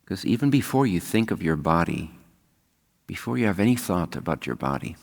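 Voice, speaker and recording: A man leading a guided meditation as a spiritual teacher, speaking calmly and unhurriedly with long pauses. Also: deep voice, creaky. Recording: long hall-like reverb.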